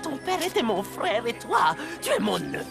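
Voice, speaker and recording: A gravelly scheming sorcerer. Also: male voice, very high voice, huge pitch swings, moderate pace, full character voice. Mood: sly. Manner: wheedling, falsely affectionate, then harsh. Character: scheming sorcerer posing as long-lost uncle. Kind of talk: French cartoon dub. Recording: music under dialogue.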